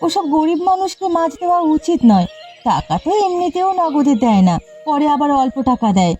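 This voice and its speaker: Cartoon village girl, voiced by a woman: pushy fish seller, very high, swooping, wheedling delivery. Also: sing-song, a little fast, warm tone. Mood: complaining. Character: pushy, money-minded younger sister, village fish seller. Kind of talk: Bengali cartoon dialogue. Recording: slightly muffled audio with background music. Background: background music.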